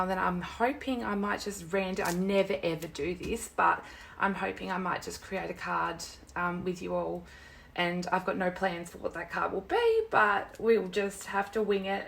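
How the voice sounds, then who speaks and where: A young woman talks through a home craft live stream, her voice croaky and gravelly from a sore throat. Her pitch sits in a middling range, and her chat is loose, rambling and apologetic. A faint hum of background noise sits behind her. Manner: chatty and rambling, greets viewers by name, apologetic.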